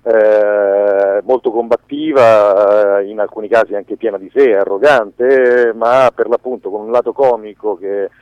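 A man giving a conversational radio interview as a filmmaker, haltingly searching for words and restarting phrases. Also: lowish voice, fairly even intonation, clear, resonant tone, dry recording. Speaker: documentary filmmaker being interviewed. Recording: dull lo-fi radio sound, dry.

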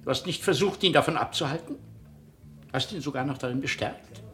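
A man's voice: medium pitch, fairly quick, pushed and bright, faint music behind. An actor playing a defensive, evasive businessman husband in a German radio drama, indignant and dismissive. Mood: tense, disbelieving.

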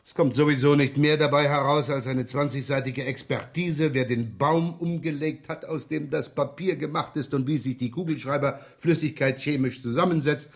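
An actor playing a wily middle-aged police inspector in a radio crime drama, dryly sarcastic, probing and feigning absent-mindedness. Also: male voice, a little high, steady tone, moderate pace, hardly any pauses. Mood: brisk, wry. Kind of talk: 1970s German radio crime drama acting.

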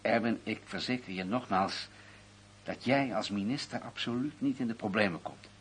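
An actor playing a guarded, bureaucratic intelligence official in a radio drama, speaking formally with a husky voice. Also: male voice, on the low side, normal speed, a bit bright, a little hiss or hum, close to an everyday voice. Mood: hushed reassurance. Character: guarded, bureaucratic male senior intelligence official, covering himself. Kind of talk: Dutch radio drama acting.

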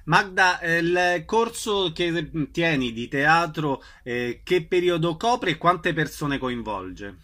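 A man's voice: fairly high pitch, lively intonation, slow pace, few pauses, bright, forceful tone. A man working as a radio interviewer, introducing a guest and putting short questions.

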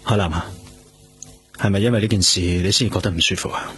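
An actor playing an earnest, brooding police detective in a radio drama, with a Hong Kong Cantonese accent. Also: male voice, low-pitched, steady tone, quick pace, a little hiss or hum. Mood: gentle concern. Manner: earnest, introspective. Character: brooding male police detective, tender but afraid of loss. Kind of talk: radio drama acting with inner monologue. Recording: studio radio drama under loud music.

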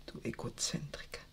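An actress playing a gentle, hopeful young wife and mother who often whispers in a 1960s radio drama. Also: natural voice. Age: young adult. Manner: soft, pleading, often whispering.

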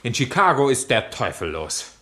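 An actor playing a courteous, pompous district attorney with formal, emphatic delivery in a satirical radio comedy. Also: male voice, mid-range voice, very animated, moderate pace, bright, forceful tone.

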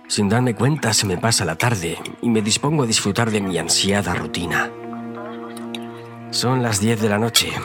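A man with a fairly low voice tells a scripted horror story in the first person. His delivery is theatrical and suspenseful. Loud music plays under the narration.

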